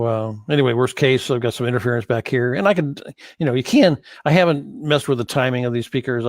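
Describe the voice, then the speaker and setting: A man giving an informal screen-share tutorial as an instructor, thinking aloud in a halting way and correcting himself as he goes. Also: mid-range voice, no echo, studio quality. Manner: halting, hesitant delivery with self-corrections. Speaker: course instructor in church sound.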